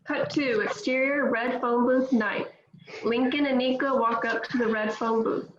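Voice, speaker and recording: A woman reading stage directions in a steady, matter-of-fact way at a table read. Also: on the high side, unhurried. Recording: echoey, dull, faint noise.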